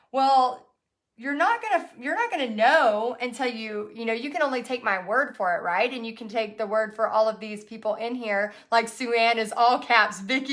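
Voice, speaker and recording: Hoarse woman chatting live. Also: high voice, fairly bright tone. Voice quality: folksy, chatty, full of fillers and restarts. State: hoarse, raspy from overuse. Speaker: painting instructor hosting a live stream. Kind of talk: casual live-stream chat, reading comments.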